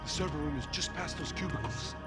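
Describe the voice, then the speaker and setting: An actor with a Brazilian accent playing a nervous, timid office technician. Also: male voice, fairly high pitch, steady tone, brisk, over loud music, natural voice. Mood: hushed. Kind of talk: dramatic game voice acting.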